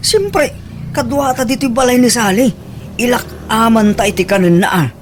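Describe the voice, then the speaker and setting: A man's voice: extremely high pitch, moderate pace, faint music behind. A man with an Ilocano accent playing an exasperated husband who defends himself, protesting emphatically in a comedic radio drama. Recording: faint background noise.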